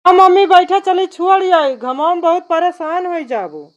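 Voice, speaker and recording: A performer voicing an eager, bragging young village son-in-law in an Awadhi cartoon comedy, pleading and respectful, in a pitched-up voice. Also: normal speed, slight background noise. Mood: proud, inviting. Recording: dull lo-fi cartoon soundtrack.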